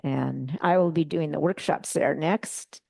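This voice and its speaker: Low, gravelly woman, chatty slide talk. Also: unhurried, no echo, clean studio sound. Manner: relaxed, chatty, many fillers and restarts. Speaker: guest presenter at a bonsai club meeting. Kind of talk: casual slide-show talk.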